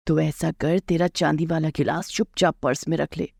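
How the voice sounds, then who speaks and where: Woman voicing a low-toned scheming older mother-in-law. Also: fairly even intonation, moderate pace, creaky, a little mellow, breathy edge, no room sound, clean studio sound, full character voice. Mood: sly, bossy.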